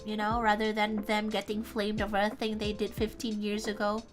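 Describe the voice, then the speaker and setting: A woman's voice: medium pitch, completely flat delivery, brisk, over music. A young woman with an Indonesian accent, whose first language is Indonesian, chatting casually on a livestream and reading viewer comments. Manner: chatty, reads viewer comments aloud, thinks out loud. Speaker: VTuber streamer.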